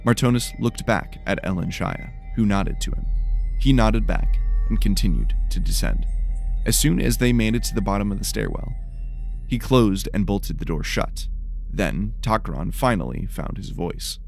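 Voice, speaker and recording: A man with a slightly tired, worn voice reading scripted, dramatic dark fantasy narration. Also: on the low side, expressive, normal speed, gravelly, soft background music.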